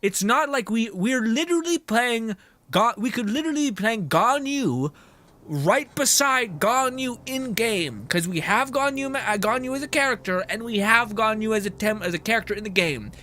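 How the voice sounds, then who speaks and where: A man's voice: very high-pitched, lively intonation, moderate pace, bright, forceful tone, slight background noise. A man livestreaming a game in casual chat, exasperated and swearing freely as he rants. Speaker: game streamer.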